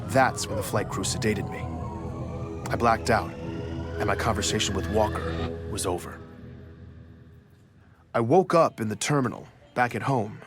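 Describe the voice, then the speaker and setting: A man's voice: mid-range voice, a bit bright, lightly acted. A man playing a proud, wronged journalist, telling his story in a confiding, wry first-person audio drama narration. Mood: wry, tense. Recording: music under the voice.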